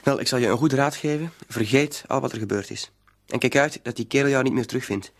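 An actor playing a smug, controlling crook in a radio play, self-assured and threatening. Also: male voice, medium pitch, quick pace, creaky. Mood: quietly threatening. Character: smug, menacing male schemer, a controlling crook. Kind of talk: radio drama acting.

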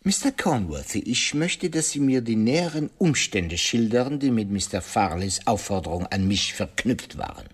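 An actor playing a shrewd, courteous detective in a radio play, speaking formally and deliberately with French phrases and musing hesitations. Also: male voice, lowish voice, very animated, breathy edge, full character voice. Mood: methodical questioning. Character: shrewd, courteous male detective, pensive and probing.

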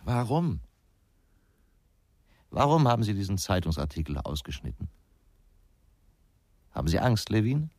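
A low-voiced man as a blunt ex-cop in a radio crime play. His speech is terse and needling, with big swings in pitch, in a very dry studio sound. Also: very animated, a little slow, pauses often, darkish tone, dry recording, heavily acted. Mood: needling, insistent. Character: gruff, blunt male ex-policeman investigator, provoking and dismissive. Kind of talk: German radio crime drama acting.

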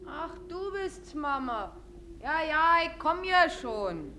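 A woman's voice: high voice, big pitch swings, takes it slowly, pushed and bright, a little hiss or hum, full character voice. An actress playing a stubborn teenage servant girl in a radio drama, whining defiantly in Berlin-area dialect. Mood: sullen, reluctant.

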